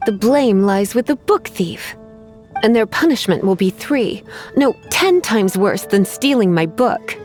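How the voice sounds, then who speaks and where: A woman's voice: mid-range voice, lively intonation, unhurried, darkish tone, natural voice. A woman voicing a teasing, self-assured librarian in a video game, coy and theatrical with a sweetly menacing edge. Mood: sternly menacing. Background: faint music.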